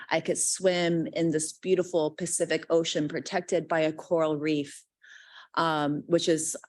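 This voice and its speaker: Low-voiced chatty woman in her thirties. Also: no echo. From Guam. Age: 30s. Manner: chatty, heavy use of "like", acts out quoted lines. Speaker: panelist. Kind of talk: casual storytelling on a panel.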